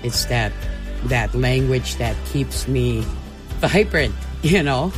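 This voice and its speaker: A woman who teaches a language speaks in a reflective way, very slowly and with pauses. Clear guitar music plays behind her. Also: extremely low pitch, expressive. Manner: slow, reflective.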